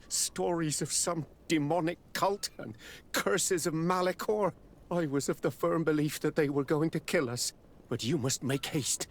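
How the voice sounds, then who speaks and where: A man voicing a shaken sailor freed from captivity in a video game, earnest and urgent. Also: a little high.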